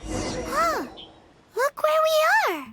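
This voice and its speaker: A woman voicing a tiny childlike fairy companion in a video game, squeaky, chatty and inquisitive. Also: sing-song, very slow, over music, heavily acted. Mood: puzzled, wary. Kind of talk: English video game voice acting.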